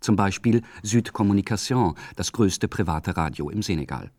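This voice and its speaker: Man, very deep voice, scripted radio narration, calm and measured, dry studio sound. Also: no echo.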